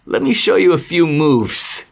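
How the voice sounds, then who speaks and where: Man acting a melancholy, expressive young father. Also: fairly high pitch, a little slow, creaky, heavily acted. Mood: listless. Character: sorrowful remorseful father, fallen god and chess master. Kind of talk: animated bedtime story acting.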